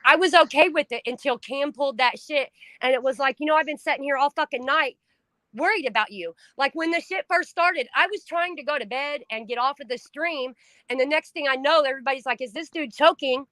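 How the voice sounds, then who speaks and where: Loud, blunt, high-voiced woman. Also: brisk, no echo, rough recording. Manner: loud, blunt and profane, voice rising when upset. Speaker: stream co-host. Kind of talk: emotional casual chat.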